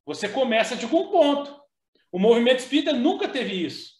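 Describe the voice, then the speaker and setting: Measured man in an echoey room. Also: very high voice, very animated. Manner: measured, hesitant, frequent "né?" and restarts. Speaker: co-host. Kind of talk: casual discussion.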